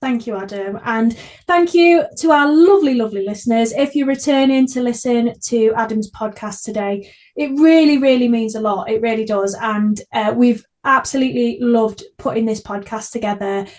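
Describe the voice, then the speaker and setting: A woman hosts a casual podcast conversation. Her voice sits fairly high. She speaks effusively and with enthusiasm, in an informal chatty style. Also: normal speed, darkish tone. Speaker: podcast host.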